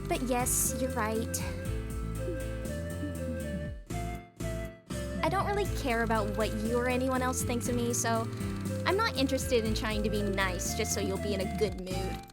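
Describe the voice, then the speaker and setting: A high, girlish voice from a woman acting carries a snarky, self-absorbed schoolgirl from a dating sim. She is sarcastic and foul-mouthed, with a theatrical, nihilistic streak. Prominent music plays behind her. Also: normal speed, natural voice. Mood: aloof, dismissive. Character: snarky, vain, nihilistic schoolgirl, rude and easily exasperated.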